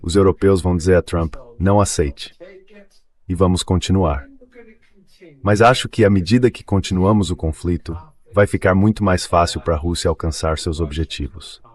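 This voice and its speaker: A man's voice: deep voice, normal speed, a little mellow. A man's AI-dubbed voice giving geopolitical analysis as an interview guest, assertive and repeating points for emphasis. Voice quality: AI-generated dubbed voice. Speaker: political commentator guest.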